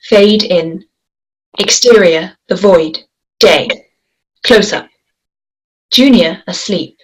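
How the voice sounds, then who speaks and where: A woman's voice: lowish voice, takes it slowly, breathy edge, poor audio. A woman reading stage directions as scripted narration at a table read. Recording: faint noise and clicks.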